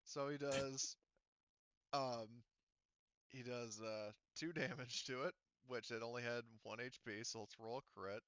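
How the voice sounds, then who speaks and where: A man running a tabletop roleplaying game as game master, talking in a casual, halting and sometimes profane way. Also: medium pitch, fairly even intonation, fairly bright tone, clean tone, no echo, muffled recording. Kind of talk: improvised narration and rules talk in an online tabletop roleplaying game.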